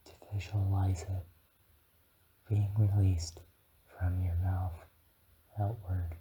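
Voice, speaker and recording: A man playing a gentle, encouraging dragon guide in a whispered ASMR guided meditation, speaking haltingly and soothingly. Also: low-pitched, flat delivery, highs cut off, background noise.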